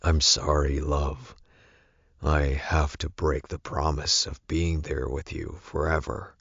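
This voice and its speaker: A man's voice: very deep voice, flat delivery, takes it slowly, creaky, a bit bright, breathy edge, no room sound, muffled recording, exaggerated voice. A man playing a sorrowful dying father speaking tenderly in an audiobook.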